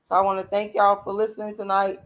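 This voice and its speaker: Hoarse, blunt woman streaming to viewers. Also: mid-range voice, completely flat delivery, fast talker. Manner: blunt and emphatic, addresses viewers as y'all. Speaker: channel host. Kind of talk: late-night livestream monologue.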